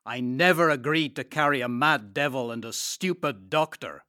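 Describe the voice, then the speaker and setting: A man's voice: mid-range voice, normal speed, sharp tone, no echo, studio quality, close to an everyday voice. A man voicing an angry, brutal male sea captain who snarls insults in a storybook reading. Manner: snarling, contemptuous.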